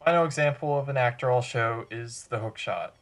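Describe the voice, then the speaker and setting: A man's voice: mid-range voice, brisk. A man narrating a tutorial over gameplay in a matter-of-fact, step-by-step way. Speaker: video presenter demonstrating a game glitch.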